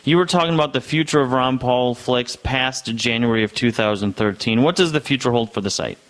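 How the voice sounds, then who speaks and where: A man's voice: medium pitch, a little fast. A man hosting an interview, asking questions in an upbeat, conversational way. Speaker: show host.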